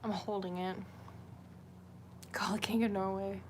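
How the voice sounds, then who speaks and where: A woman livestreamer talks off the cuff in an average-pitched voice, with some background noise around her. Her chat rambles and is candid, peppered with swearing and self-deprecation. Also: little pitch movement, measured pace, a little mellow. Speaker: livestream host.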